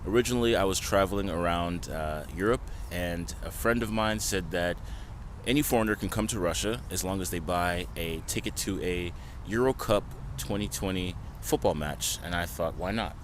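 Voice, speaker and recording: A man's voice: deep voice, normal speed, a little hiss or hum. An American man answering a street interview with confident enthusiasm. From United States. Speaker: interviewee, trader. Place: outdoors on a city street.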